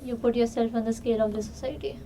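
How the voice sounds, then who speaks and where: Woman with soft mellow highish voice thinking out loud, casual, bit far from mic. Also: flat delivery, quick pace. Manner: questioning, reasoning aloud. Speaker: participant in an unscripted discussion. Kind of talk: casual chat. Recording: distant mic with background noise.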